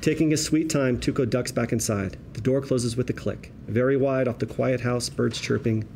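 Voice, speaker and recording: A man narrates a screenplay's action in scripted present tense at a table read. His medium-pitched delivery is vivid and wry, with some noise in the room. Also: steady tone, normal speed, gravelly, a little mellow. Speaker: stage directions reader.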